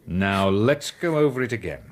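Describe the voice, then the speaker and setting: An actor playing an exasperated police interrogator in a radio drama, pressing hard with insistent questions. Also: male voice, lowish voice, lively intonation, moderate pace, lightly acted. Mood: probing. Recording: clear background noise.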